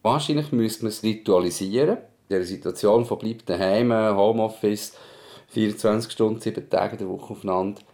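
A man with a Swiss German accent speaks in a deep voice with a mellow, dark tone. There is plenty of gravel in it. He talks frankly and colloquially, as in a casual personal conversation. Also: brisk. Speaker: podcast host, parent.

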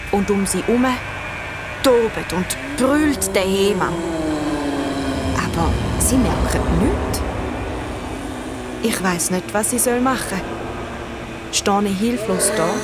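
A woman's voice: mid-range voice, animated, slow pace, pauses often. A young woman with a Swiss German accent playing an earnest, imaginative schoolchild who narrates an adventure in a children's radio play, musing aloud. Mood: tense, urgent.

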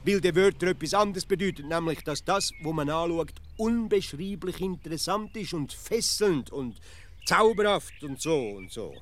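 An actor with a Swiss accent playing a stubborn, easily irritated provincial simpleton philosopher in a deadpan radio comedy, pedantic and repetitive. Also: male voice, a little high, natural voice. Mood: exasperated. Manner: pedantic, deliberate, repeats himself.

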